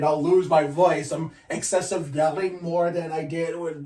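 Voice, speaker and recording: A man's voice: on the high side, darkish tone, far from the mic. A man ranting casually to his channel, blunt and profane, rambling with frequent restarts. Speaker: YouTuber. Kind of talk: casual vlog rant.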